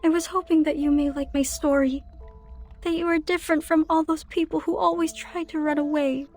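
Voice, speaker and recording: Game role: female actor as lonely, clingy forest girl, high childlike voice, wistful pleading, music underneath. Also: fairly even intonation, brisk, a little mellow, close to an everyday voice. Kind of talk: video game voice acting.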